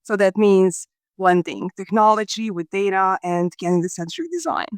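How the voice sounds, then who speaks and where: Woman from Croatia, middle-aged, smooth and resonant voice, dry close sound, measured business talk. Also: mid-range voice, no echo. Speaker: guest, talent acquisition leader. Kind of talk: podcast interview answers.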